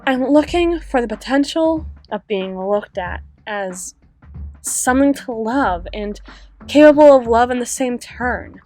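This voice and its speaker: A woman playing a wistful, guarded female half-spider bouncer in an intimate roleplay monologue, musing with dramatic pauses and soft trailing phrases. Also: a little high, lively intonation, soft background music. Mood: wistful, yearning. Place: close-miked recording with music playing behind.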